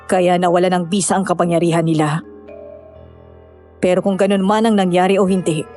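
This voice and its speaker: A woman's voice: lowish voice, mellow, close to an everyday voice. A woman playing a knowing, solemn villager who explains and cautions in a horror audio drama. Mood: grave.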